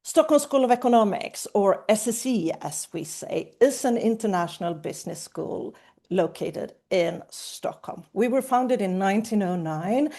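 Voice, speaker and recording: A woman hosting a webinar for prospective students. She walks through an agenda and presents in a clear, upbeat tone. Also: mid-range voice, dark tone. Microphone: video call.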